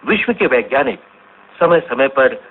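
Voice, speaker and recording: An actor playing a courteous, earnest senior scientist, explaining politely and deliberately in a scripted radio drama interview. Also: male voice, fairly high pitch, big pitch swings, gravelly, heavily acted. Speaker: studio interview guest. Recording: tinny, band-limited, noisy radio recording.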